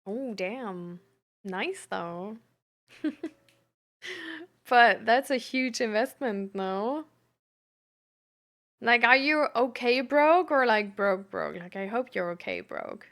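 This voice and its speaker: A woman chatting casually like a livestream host reading and answering messages, halting and giggly, with lots of "like" as filler. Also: on the high side, expressive, a bit bright.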